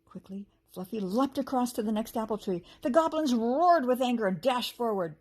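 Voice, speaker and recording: A woman teacher reading a children's story aloud with expressive narration and a slightly husky voice. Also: on the high side, moderate pace.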